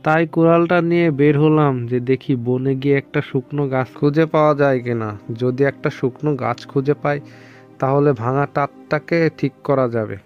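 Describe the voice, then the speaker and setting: A man's voice: on the high side, fairly even intonation, fairly quick, lightly acted. A man playing a stubborn old village weaver in a cartoon, muttering to himself and exclaiming as he complains. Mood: resolute, fretting. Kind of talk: cartoon voice acting. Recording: dull lo-fi audio with music behind.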